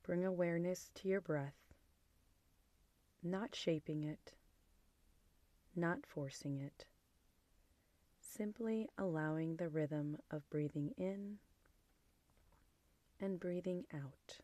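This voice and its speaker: A woman guiding a body scan meditation in a soft, gentle, soothing manner, with phrases trailing into a near-whisper. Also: lowish voice, slow, pauses often, no echo. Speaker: meditation guide.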